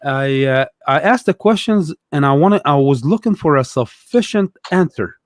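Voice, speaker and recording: A man's voice: fairly high pitch, animated, moderate pace, dry recording, clean studio sound. A young adult man from Egypt, a guest telling his story in casual conversation, thoughtful and hesitant with many fillers.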